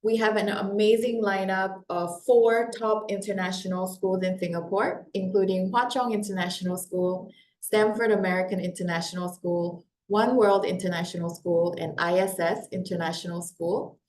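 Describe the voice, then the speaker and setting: A woman's voice: mid-range voice, fairly even intonation, normal speed, darkish tone. Upbeat woman hosting a webinar. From Korea. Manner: upbeat, welcoming, with frequent fillers. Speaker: webinar host and company founder. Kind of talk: live webinar presentation. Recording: echoey room.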